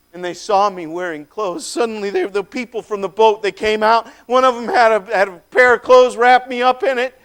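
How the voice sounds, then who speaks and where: Brief: high, smooth voice; a man as a once-possessed man, haunted and fervent, hushed to shouting. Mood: tender, fervent. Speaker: dramatic monologue performer. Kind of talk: first-person dramatic monologue.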